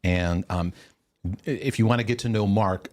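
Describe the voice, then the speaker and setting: A man's voice: fairly low pitch, normal speed, darkish tone, no echo. A middle-aged man hosting a live question-and-answer stream, congested and sneezing, talking in a rambling, self-interrupting way with laughs. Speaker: host.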